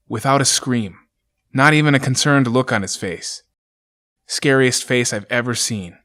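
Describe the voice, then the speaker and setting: A man voicing a doomed ranger who reads his last journal entries in a grim, measured way. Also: medium pitch, a bit bright, close to an everyday voice. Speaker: journal narrator in an audio drama. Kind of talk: dramatic reading of a journal.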